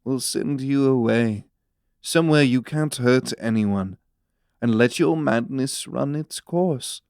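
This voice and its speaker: Man playing a slow, smooth-voiced, imperious minister. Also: mid-range voice, darkish tone, no echo, studio quality. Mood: cold authority. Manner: measured, solemn, imperious. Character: calm, authoritative, cryptic male government minister of fantasy empire. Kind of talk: fantasy audio drama acting.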